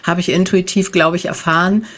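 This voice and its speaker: A woman in her fifties chats as a podcast guest. She talks in a loose, easygoing way, often ending her sentences with a quick tag question and laughing easily. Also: lowish voice, steady tone, fairly bright tone. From Germany, grew up in the Netherlands. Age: 50s. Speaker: guest, animal communicator. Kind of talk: casual interview chat.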